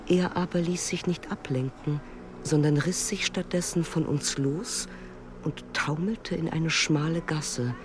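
A man performing a measured, observant first-person literary narration in a radio play. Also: on the high side, background noise. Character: man recounting an odd night and morning, observant.

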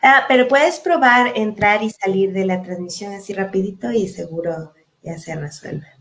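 A woman's voice: mid-range voice, moderate pace, a bit bright. A woman moderating an online meeting on a video call. She greets the audience, reads introductions and talks in a friendly way with many small fillers. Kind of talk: moderating a virtual panel, reading introductions.

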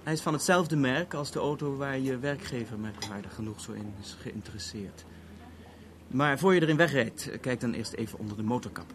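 A male actor as an urbane French police officer in an old radio play, heard through a noisy recording. He is wordy and measured, dryly ironic, with a cool edge of warning in his formality. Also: mid-range voice, lively intonation, lightly acted. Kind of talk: 1970s Dutch radio drama acting.

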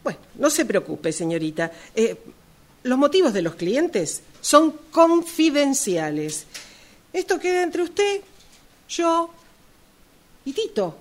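A woman's voice: high-pitched, very animated, takes it slowly, long pauses, full character voice. A woman playing a discreet, bossy shopkeeper in an amateur radio play, with a formal, theatrical manner.

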